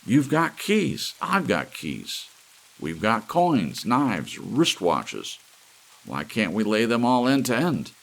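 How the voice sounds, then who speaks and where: A man voicing an excitable druggist fighting despair, earnest and exclamatory, in an audiobook story. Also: mid-range voice, slow, gravelly, pitched above the performer's own voice, full character voice. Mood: excited discovery.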